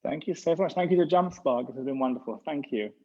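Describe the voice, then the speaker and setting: A man's voice: medium pitch, quick pace, dark tone. A man, a rabbi, talking about his program in a casual interview over a video call, rambling and restarting his sentences. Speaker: rabbi representing a gap year program. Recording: dull lo-fi sound.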